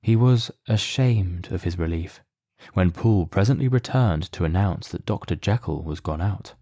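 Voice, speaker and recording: Deep, dark-toned male story narrator. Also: dry recording, clean studio sound. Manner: measured, solemn, literary delivery. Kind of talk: scripted dramatic narration of a mystery story.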